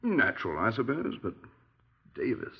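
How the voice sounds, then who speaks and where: An actor playing a genial, breezy middle-aged British retired major in a 1950s radio drama, clipped and dismissive. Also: male voice, medium pitch, gravelly, breathy edge, exaggerated voice. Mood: wry, rueful. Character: genial, dapper retired army major, breezy small-town murder suspect. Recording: muffled 1950s radio recording.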